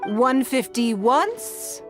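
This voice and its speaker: A woman's voice: fairly high pitch, big pitch swings, drawn-out words, exaggerated voice. A rich shrewd game lady, composed and formal, music behind her. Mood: brisk, commanding. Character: poised, shrewd wealthy woman running an auction. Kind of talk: video game voice acting.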